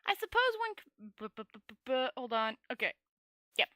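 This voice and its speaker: An eager little tourist man, voiced by a woman reading a story aloud, pitched well above her own voice. He sounds breathless and full of wonder, with a bright, dry tone close to the mic. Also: moderate pace, no room sound. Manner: breathless, wondering, high and excited. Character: eager, wide-eyed little male tourist.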